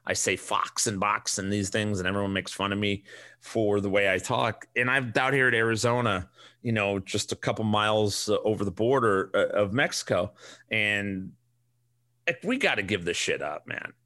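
A man's voice: on the low side, moderate pace, sharp tone. A middle-aged man with a Chicago accent, hosting a casual solo podcast and ranting in a blunt, profane, rambling way. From Chicago area. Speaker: podcast host.

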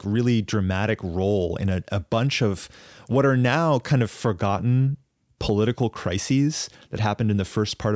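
A man with a fairly low voice talks through a long historical explanation on a casual podcast. He is thoughtful and halting, restarting phrases as he works out his thoughts. The sound is dry and close, with no room echo. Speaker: podcast co-host.

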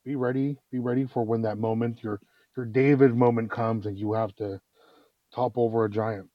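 A man's voice: mid-range voice, steady tone, normal speed, dry recording. A man in a casual discussion, earnest, repeating words as he works toward his point. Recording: dull lo-fi audio.

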